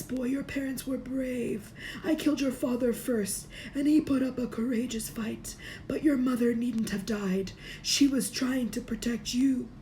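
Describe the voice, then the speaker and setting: A woman voicing a cold, evil male dark wizard in a storybook reading, hissing and sneering. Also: a little high, pitched above the performer's own voice, low-quality recording.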